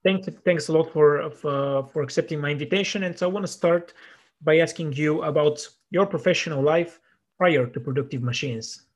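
Friendly, hesitant interviewer, pitched slightly high. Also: male voice, normal speed. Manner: friendly, hesitant, with many fillers. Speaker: interviewer, podcast host. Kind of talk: interview questions.

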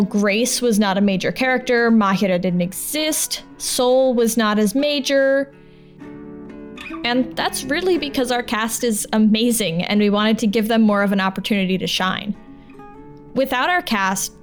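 A woman hosting a podcast, talking in a chatty, informal and reassuring way. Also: a little high. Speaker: podcast host and audio drama producer. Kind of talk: casual podcast talk over music. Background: plucked guitar music.

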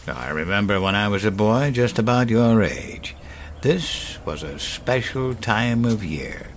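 An actor playing an elderly grandfather in a horror audio drama, folksy, wistful and quietly menacing, with halting, musing delivery. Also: lowish voice, expressive, a little hiss or hum. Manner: halting, musing, with sighs and chuckles. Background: music.

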